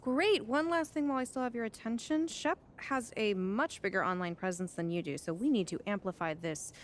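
A woman plays an image-minded publicity adviser in a game cutscene. She is brisk, directive and insistent at a middle pitch, over faint background ambience. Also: a little hiss or hum, lightly acted. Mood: pushy. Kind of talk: video game cutscene acting, conversational.

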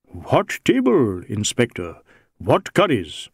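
A man's voice: mid-range voice, wildly swooping pitch, slow pace, gravelly, husky, dry recording, studio quality, exaggerated voice. A man voicing a big, brawny Sikh rogue in an audiobook, sly and teasing, with wheedling mock deference. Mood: feigned innocence. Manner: wheedling mock deference, Indian English phrasing. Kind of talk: audiobook character dialogue.

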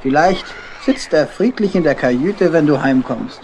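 A man playing a friendly acquaintance in a children's audio play, consoling and gently scolding. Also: on the high side, animated, normal speed. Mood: reassuring, chiding. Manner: easygoing, consoling. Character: friendly male acquaintance, reassuring, mildly scolding. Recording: noisy old record with ambience.

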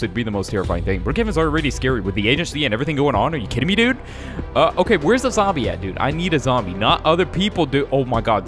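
A young man giving jokey, slangy, casual gameplay commentary as the host of a gaming video. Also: fairly high pitch. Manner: jokey, slangy, calls viewers "guys" and "dude". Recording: music behind the voice. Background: music.

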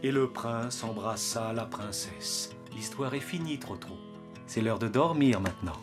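A man voicing a patient, gentle father donkey in a French preschool cartoon, with an expressive fatherly manner. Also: medium pitch, unhurried, natural voice. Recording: music behind the voice.

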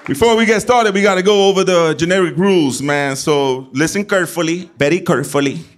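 A man in his forties from Puerto Rico with a New York accent, hosting a game show on a club stage and doing loud, profane crowd work into a handheld mic. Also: on the high side, brisk. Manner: loud, profane, teasing the audience. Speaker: comedian game show host. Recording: live club with crowd noise. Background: audience laughing and clapping.

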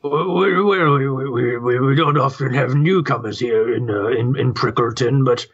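A man's voice: medium pitch, unhurried, breathy edge, highs cut off. A man voicing a grumpy, flustered old toad who leads a small town, hesitant and stammering, with a strained throaty voice. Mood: flustered, polite.

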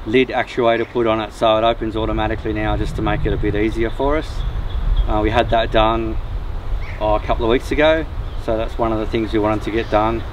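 A middle-aged Australian man, a camping vlogger, chatting casually to camera in a laid-back, informal way. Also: medium pitch. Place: outdoors at a riverside bush campsite. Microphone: camera a few metres away. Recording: distant mic, windy outdoor noise.

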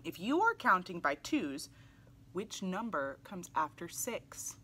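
A woman reading a picture book aloud to young children in an animated, exclamatory way. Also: on the low side, slow, a little hiss or hum. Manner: animated, exclamatory, with audible gasps. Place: small indoor room, seated in armchair.

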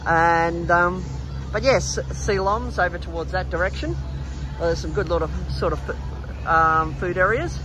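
A man's voice: sits high, lively intonation, unhurried, rough recording. A man with an Australian accent giving casual, chatty, rambling narration as a travel vlogger walking outdoors. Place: outdoors in an urban riverside park. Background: background music, outdoor city sounds.

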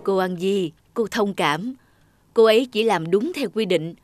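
A woman voicing a calm, kindly middle-aged male doctor in a cartoon, speaking slowly and gently. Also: medium pitch, faint music behind, lightly acted. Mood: gentle.

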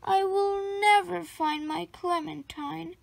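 A woman reading a children's picture book aloud expressively, with deliberate phrasing and dramatic pauses. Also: very high-pitched, fairly even intonation, creaky. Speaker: storybook reader.

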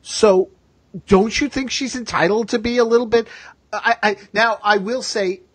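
A man hosting a casual discussion, chatty and thinking out loud as he goes. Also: high-pitched, fairly even intonation, normal speed, slightly rough, dry recording. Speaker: podcast host.

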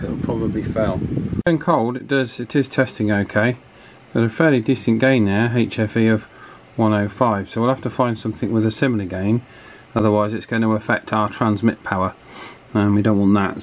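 A man giving a casual hands-on repair demonstration, thinking aloud with some hesitation. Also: on the low side. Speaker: electronics repair hobbyist presenter. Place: small indoor office workshop. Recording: clear background noise, wind from fan. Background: table fan wind noise.

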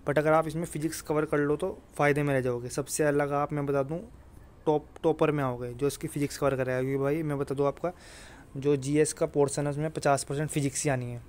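A man's voice: a little high, level tone, fairly quick, darkish tone. A young man casually explaining exam and job details to students in a chatty way, often checking in with them. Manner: chatty, keeps asking "theek hai na bhai". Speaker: exam coaching YouTuber.

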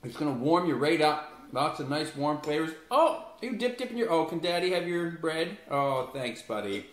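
A man with a fairly high voice talks through a recipe in a home kitchen, chatty and relaxed, turning now and then to his little son. The sound is very echoey, bouncing off the hard kitchen walls, with a faint hiss underneath. He is picked up by a camera some distance from him, not a close mic. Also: moderate pace. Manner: chatty, explaining steps while talking to his toddler son. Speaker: home cooking show host. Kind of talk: casual cooking demonstration.

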